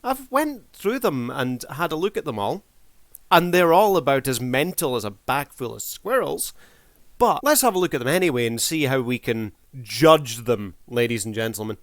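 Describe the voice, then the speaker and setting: A man's voice: medium pitch, sing-song, moderate pace, no echo. A man giving casual review commentary as a video creator, in a wry, mock-formal showman's manner.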